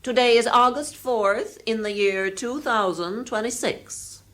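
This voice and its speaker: A woman voicing an automated house announcer in an audio drama, reading out reminders in a measured, formal, impersonal way. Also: on the high side, fairly bright tone.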